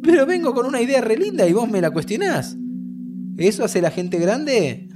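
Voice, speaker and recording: A man's voice: extremely high pitch, sing-song, normal speed. An actor playing a teasing young Argentine time traveler who hides his sadness, in naturalistic audio fiction dialogue. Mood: playful. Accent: Argentine, Rioplatense. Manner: casual, teasing.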